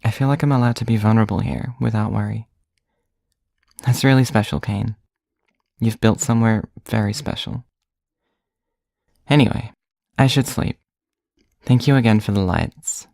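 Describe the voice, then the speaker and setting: A gentle, openly vulnerable character, played by an actor in an audio drama. The voice sits at a middle pitch and speaks with soft sincerity, recorded dry and intimate. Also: normal speed, vocal fry, long pauses, no room sound, natural voice. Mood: tender, grateful. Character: gentle, vulnerable bar resident leaving an affectionate voicemail.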